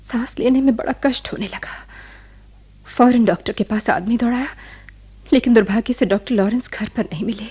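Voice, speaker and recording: An actress playing a grieving widow who recounts her tragic past in a radio play, slow and sorrowful. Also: a little high, warm tone. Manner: slow, sorrowful, breathy delivery. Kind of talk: dramatic radio play narration.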